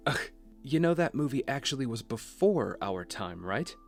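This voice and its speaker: Man acting a kindly mid-pitched father. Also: sing-song, normal speed, creaky, soft background music. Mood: amused, fond. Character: kindly, good-humored father, a gentleman of the house. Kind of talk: audio drama acting.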